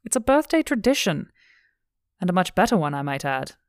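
A woman's voice: on the low side, very animated, brisk, no room sound. A blunt, stern young woman of the law, played by a female narrator in an audiobook. The sound is very dry, with hardly any room around the voice. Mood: frank.

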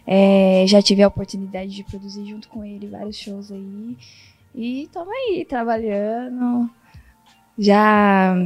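A woman's voice: mid-range voice, a little mellow, slightly rough. A Brazilian woman who produces comedy shows, talking casually and a little shyly as a podcast guest.

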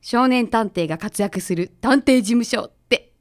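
A confident female detective's assistant with a Kansai accent, played by a woman, pitched high. She talks in a breezy, chatty way, in a conversational radio-play style. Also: measured pace, close to an everyday voice. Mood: excited, scheming. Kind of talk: radio drama acting, conversational.

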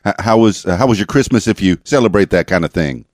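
Deep, lively-voiced podcast host. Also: male voice, fast talker, no echo, studio quality. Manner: laid-back, joking, many fillers. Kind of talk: casual interview.

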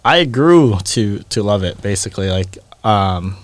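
A man's voice: lowish voice, animated, unhurried, no echo. A man with a Canadian accent chatting casually as a podcast guest, slangy and peppering his talk with "like" and "man". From born in the Philippines, raised in Calgary, Canada. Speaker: podcast guest, boxing instructor. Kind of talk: casual chat.

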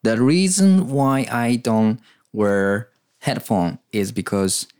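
A man's voice: mid-range voice, slow, a little mellow, smooth. A man with a Japanese accent, a native Japanese speaker, co-hosting a casual bilingual podcast. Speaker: podcast co-host. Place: small makeshift studio behind a cafe.

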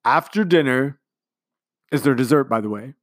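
A man's voice: mid-range voice, lively intonation, normal speed, dry recording, studio quality, voice pushed up, natural voice. A man voicing a grandiose, sentimental middle-aged male commander who talks in long, flowery speeches. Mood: brisk. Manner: long-winded, pompous speechmaking.

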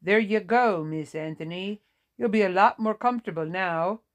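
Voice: medium pitch, moderate pace, highs cut off, lightly acted. A woman voicing a short, weary Vietnamese nurse in an audiobook, sorrowful, apologetic and plain-spoken, with a Vietnamese accent. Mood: friendly. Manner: folksy, apologetic, emphatic. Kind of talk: audiobook character dialogue.

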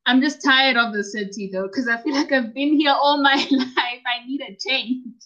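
A young South African woman whose home languages are Northern Sotho and Tsonga, chatting in a relaxed way and laughing easily. Her voice sits a little high. The recording sounds muffled and lo-fi. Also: moderate pace. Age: young adult. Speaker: guest, university student and podcaster. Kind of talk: casual interview chat. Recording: muffled lo-fi audio.

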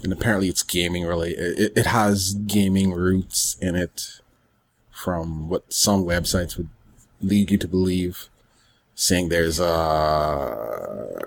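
Low-voiced man chatting casually on a podcast. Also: slight background noise. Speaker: podcast co-host. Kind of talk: casual chat about anime and games.

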